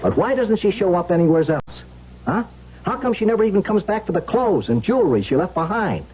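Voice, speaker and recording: An actor playing a blunt, protective police detective in an old-time radio drama, tough, colloquial and insistent, on a muffled recording. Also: male voice, high voice, very animated, brisk, breathy edge, full character voice. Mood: insistent, suspicious.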